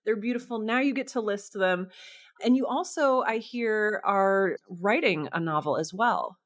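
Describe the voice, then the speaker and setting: A woman with a mid-pitched voice hosts a conversational interview podcast. She is effusive and chatty, speaking with open enthusiasm. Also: a little slow. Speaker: podcast host.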